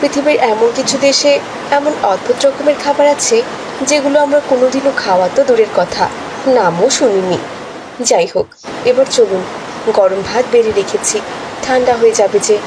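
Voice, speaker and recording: A woman playing a caring, fretful village mother in a cartoon, husky and fussing in the way she talks. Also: fairly high pitch, moderate pace, background noise, close to an everyday voice. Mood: agreeable. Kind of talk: cartoon voice acting.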